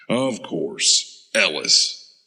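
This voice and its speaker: A gravelly old war veteran. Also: male voice, fairly low pitch, pushed and bright, exaggerated voice. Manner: dry, guarded, terse. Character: gruff old war veteran supersoldier, wry. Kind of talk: audio drama acting.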